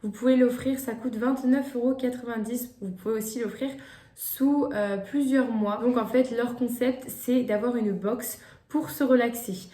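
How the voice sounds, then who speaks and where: A woman's voice: fairly high pitch, fairly even intonation, unhurried, dark tone. A young woman vlogging gift ideas in casual chat to the camera, hesitant, with many fillers and restarts. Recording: echoey room.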